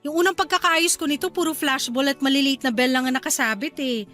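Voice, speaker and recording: A woman playing a cheerful young wife and new mother in a radio drama, talking in a bright, chatty and eager way. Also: sits high, fairly even intonation, fast, faint music behind.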